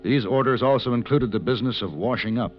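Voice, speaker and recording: An actor playing a cool, mature American hired gun in a vintage radio western, measured, dry and teasing. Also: male voice, mid-range voice, close to an everyday voice. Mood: wry narration. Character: cool, wry hired gunman, a mature man. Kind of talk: 1950s radio western acting and narration. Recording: dull vintage radio recording.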